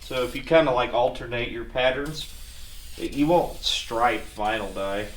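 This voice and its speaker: A man's voice: medium pitch, measured pace. A man giving casual, hands-on how-to narration in a wry, self-deprecating, folksy way while working on a car. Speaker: DIY car repair video host. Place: garage workshop. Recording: echoey, distant mic, clearly noisy.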